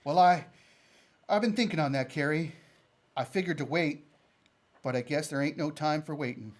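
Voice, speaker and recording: A man's voice: fairly high pitch, moderate pace, close to an everyday voice. A man playing an earnest, stubborn cowboy in love, plainspoken and rustic, in a radio Western drama. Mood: earnest, tender. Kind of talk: old-time radio Western acting.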